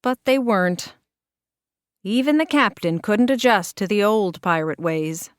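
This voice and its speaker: A woman reading a children's picture book aloud in an expressive, measured narration with deliberate dramatic pauses. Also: medium pitch, no echo, clean studio sound. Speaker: children's storybook reader.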